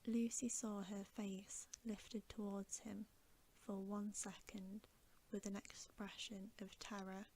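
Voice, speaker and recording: A woman reading a story aloud as bedtime ASMR in a soft, breathy whisper, with gentle, hushed and measured narration. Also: medium pitch, little pitch movement, slight background noise, low-quality recording.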